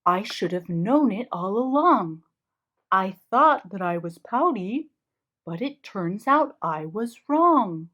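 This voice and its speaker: A woman's voice: mid-range voice, sing-song, slow pace, fairly bright tone, exaggerated voice. Lady doing a sad mopey mister fish from a kids book, sing-songy, kinda lo-fi. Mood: cheerful. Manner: mopey, resigned, sing-song rhyming. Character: glum, gloomy male pout-pout fish in a children's storybook.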